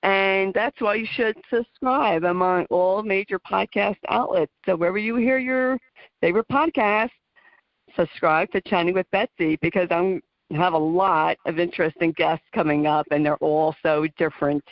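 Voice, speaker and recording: A woman's voice: fairly low pitch, normal speed, no echo. Chatty New Jersey woman radio host. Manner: chatty, effusive, frequent fillers and laughs. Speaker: radio talk show host. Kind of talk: casual interview chat. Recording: muffled dry radio audio.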